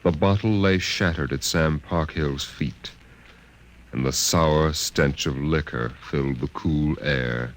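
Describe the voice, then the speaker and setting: A man's voice: rumbling low, slow, a little hiss or hum, low-quality recording. A man giving a solemn, emphatic, dramatic storytelling narration.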